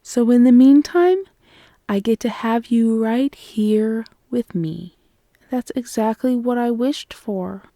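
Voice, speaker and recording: An affectionate, playful girlfriend played by a woman in an intimate ASMR roleplay. Her voice is fairly high and mellow, and she coos and teases at a very unhurried pace. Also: no echo, studio quality. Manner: soft, cooing, teasing.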